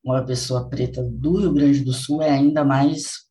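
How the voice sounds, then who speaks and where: A man's voice: medium pitch, fairly even intonation, measured pace, mellow. A man from Bahia, hosting a podcast and speaking earnestly and reflectively, repeating phrases for emphasis. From Bahia, Brazil. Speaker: podcast host. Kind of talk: casual podcast conversation.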